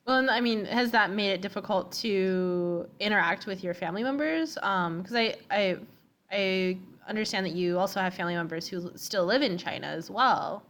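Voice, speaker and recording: A woman interviewer at a middle pitch. She acknowledges each answer before asking an open follow-up question. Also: moderate pace, a bit bright.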